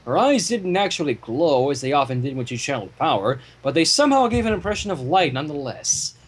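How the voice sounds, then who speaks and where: A man reading a story aloud dramatically, with expressive reactions and asides. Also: on the high side, fast talker, husky. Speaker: fan fiction reader.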